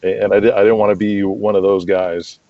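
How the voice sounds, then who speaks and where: A man being interviewed as a guest, chatting casually in an enthusiastic, rambling, halting way. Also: fairly low pitch, steady tone, no echo, muffled recording. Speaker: interview guest, wrestling promoter and booker.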